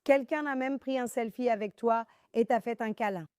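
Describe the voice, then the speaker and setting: A woman born in Iran hosting a panel talk show, animated and sarcastic. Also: a little high, moderate pace, clean tone, dry recording, studio quality. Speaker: show host.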